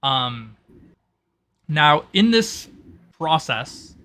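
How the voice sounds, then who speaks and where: A man working as game master, explaining tabletop roleplay rules in a halting way, thinking aloud. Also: a little high, sing-song, bright, forceful tone.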